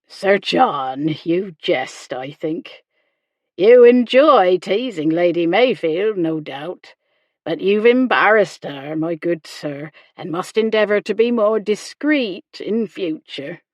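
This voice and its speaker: A woman voicing a kindly, proper male country doctor, gentle and hesitant, in a period romance audiobook. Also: on the low side, animated, slow pace, no echo. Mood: taken aback.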